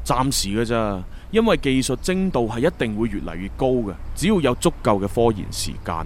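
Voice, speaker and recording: An actor playing an earnest, analytical young time agent in a Cantonese sci-fi radio drama, with a Hong Kong accent. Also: male voice, medium pitch, big pitch swings, fairly quick, a little mellow, slight background noise, close to an everyday voice. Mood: thoughtful, animated.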